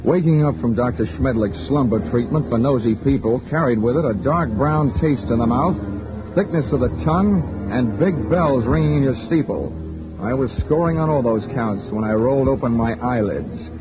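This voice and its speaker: An actor playing a hard-boiled male insurance detective who narrates and trades wisecracks in a dry, sardonic voice of middling pitch. The sound is muffled like an old radio broadcast, and music plays behind him. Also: normal speed, close to an everyday voice. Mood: wry. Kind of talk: 1940s radio acting.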